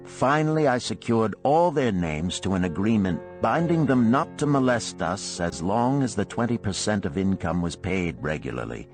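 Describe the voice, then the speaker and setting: A man narrating an audiobook of parables and letters in a measured, expressive storytelling manner. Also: fairly low pitch, soft background music.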